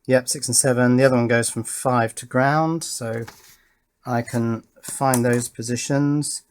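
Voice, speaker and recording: A man's voice: mid-range voice, fairly even intonation, measured pace, smooth. A middle-aged man, talking casually and thoughtfully as he hosts an electronics tutorial, rambling a little in a matter-of-fact way. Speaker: electronics hobbyist video host. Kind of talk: casual chatty tutorial narration with reminiscence.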